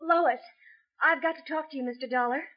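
An actress playing a frightened young woman, pleading urgently and haltingly in a 1950s radio drama, on a dull old recording. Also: sits high, lightly acted. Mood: anxious, pleading. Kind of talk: 1950s radio melodrama acting.

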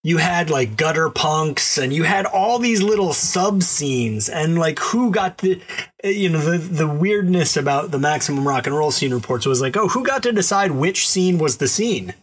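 A man from the Boston area co-hosting a podcast, talking in a relaxed, wry, questioning way. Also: on the high side, moderate pace, slightly rough. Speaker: podcast co-host. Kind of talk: casual chat.

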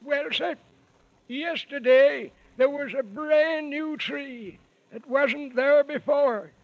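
An actor in a 1930s radio serial playing a crotchety, folksy little old hermit who talks like a rustic old-timer. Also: male voice, extremely high pitch, slow pace, dry recording, phone-quality sound, heavily acted. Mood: folksy, secretive.

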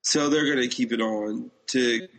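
Man with a creaky voice, chatting casually. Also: mid-range voice, normal speed, low-quality recording. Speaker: podcast co-host. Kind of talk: casual chat about TV shows.

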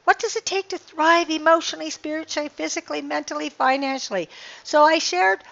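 A woman's voice: high-pitched, fairly even intonation, brisk, creaky, fairly bright tone, dull audio. An older woman, a life coach, talking casually and earnestly on her podcast while losing her voice by the ocean. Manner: earnest, rambling, inspirational, with restarts. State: slightly hoarse, losing her voice. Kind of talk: casual motivational monologue. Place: outdoors by the ocean.

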